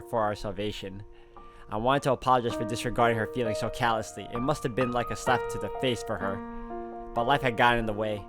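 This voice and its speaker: A man playing a visual novel, reading its text aloud expressively with casual commentary. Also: medium pitch. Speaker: video game let's player.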